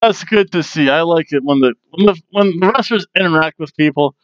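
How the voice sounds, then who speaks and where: A man's voice: fairly high pitch, brisk, dry recording, highs cut off. A man in his forties hosting a casual wrestling review from a room at home on a headset mic, chatty and jokey.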